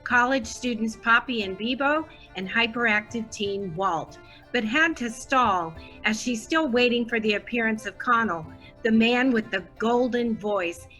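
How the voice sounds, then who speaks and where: A woman's voice: fairly high pitch, unhurried, pushed and bright, soft background music, poor audio. A woman playing a devoted, gushing superfan who narrates a radio drama in a grandly earnest way. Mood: earnest, excited.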